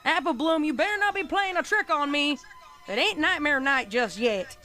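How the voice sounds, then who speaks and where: A woman puts on a young country pony mare with a high voice and a Southern drawl. She is a folksy, scolding big sister in a spooky storybook, exasperated but protective, over background music. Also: expressive, normal speed, sharp tone. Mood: uneasy warning. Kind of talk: character voice in a read-aloud horror story.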